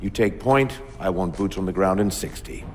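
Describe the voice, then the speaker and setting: An actor plays a gruff ship captain with a very low voice who hands out blunt orders. Reverb hangs on his words over faint background noise. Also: normal speed, darkish tone, lightly acted. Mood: commanding. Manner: gruff, authoritative.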